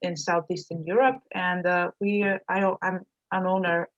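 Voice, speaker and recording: Casting note: Serbian-born woman, lowish voice, dull lo-fi call audio. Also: flat delivery, moderate pace. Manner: hesitant, many fillers and restarts. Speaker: agency owner, guest. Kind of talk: casual interview talk.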